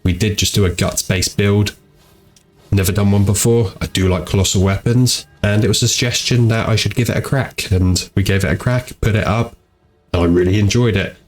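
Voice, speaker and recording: Deep-voiced, stuffy-nosed gaming host. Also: male voice. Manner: easygoing and rambling, repeats "go ahead". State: congested and tired from a cold. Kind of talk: casual commentary over gameplay. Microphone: home desk microphone.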